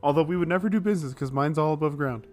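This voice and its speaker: A man's voice: fairly high pitch, rapid-fire, darkish tone. A man playing a tabletop roleplaying game, bantering casually. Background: music.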